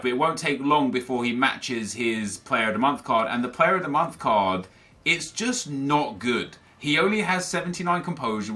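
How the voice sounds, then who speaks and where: A young man, talking casually to viewers about a football video game and reading their comments, energetic and opinionated. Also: mid-range voice. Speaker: gaming YouTuber.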